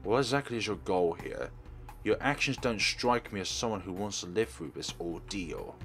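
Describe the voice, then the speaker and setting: A man's voice: on the low side, lively intonation, moderate pace, vocal fry, fairly bright tone, faint music behind. A man reading the lines of a terse, aloof young man in his own plain voice.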